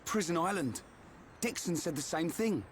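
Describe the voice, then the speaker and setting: A man playing a good-natured, slow-witted young fighter in a video game, casual and plain-spoken. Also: on the high side, animated, slight background noise, lightly acted. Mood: puzzled. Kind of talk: video game cutscene acting.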